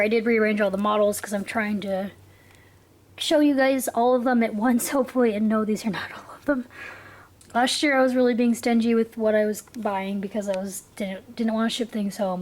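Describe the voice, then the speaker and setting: A woman's voice: mid-range voice, darkish tone. A tired young woman rambling and giggling through a casual haul video, her voice worn out. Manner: rambling, giggly, with frequent restarts. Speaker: vlogger.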